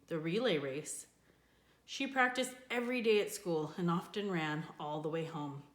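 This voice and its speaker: A woman reading a children's book aloud in an expressive storytelling style. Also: on the low side. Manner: expressive, with character voices for dialogue. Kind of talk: scripted storybook narration, read aloud. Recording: echoey room.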